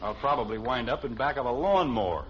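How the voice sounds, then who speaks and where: A man's voice: mid-range voice, expressive, fast talker, lightly acted. An actor playing a weary, sarcastic middle-aged suburban father in a 1950s radio sitcom, sputtering when flustered, on a muffled old recording. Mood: wry, grumbling.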